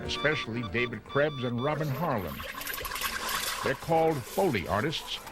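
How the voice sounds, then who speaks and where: A man with a mid-pitched voice hosts the show. He presents in a scripted style over prominent, loud music. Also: big pitch swings, moderate pace. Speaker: host and presenter.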